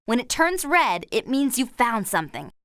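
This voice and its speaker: Friendly young female game guide, confident, fairly high voice, expressive, instructive. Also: normal speed, no echo, clean studio sound. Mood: helpful. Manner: bright, instructive, helpful. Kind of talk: scripted children's game voice acting.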